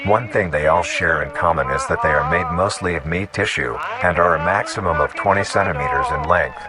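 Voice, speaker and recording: Deep-voiced man narrating over loud music. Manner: formal, measured delivery. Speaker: documentary narrator. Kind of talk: scripted nature documentary narration.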